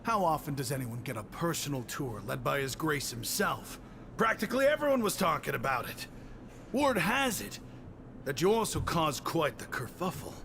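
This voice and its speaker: Cocky high-voiced gossip. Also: male voice, sing-song, normal speed, vocal fry, a bit bright, slight background noise, heavily acted. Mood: eager, knowing. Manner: boastful, conspiratorial, theatrical. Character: cocky, self-important gossiping male inmate, eager show-off. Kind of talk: video game voice acting. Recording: game music behind the voice.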